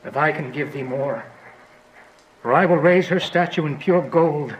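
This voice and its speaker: A high-pitched, proud nobleman played by a man mourns as a bereaved father. The delivery is formal Shakespearean radio acting, with slight noise behind it. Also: unhurried, highs cut off, close to an everyday voice. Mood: solemn. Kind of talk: Shakespearean audio drama acting.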